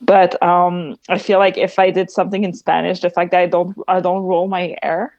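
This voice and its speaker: A woman's voice: lowish voice, flat delivery, normal speed, no room sound. French-accented woman musician, dry muffled sound, chatty and thoughtful with lots of likes. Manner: soft-spoken, thoughtful, frequent "like" and laughs. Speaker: musician guest. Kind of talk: casual interview chat.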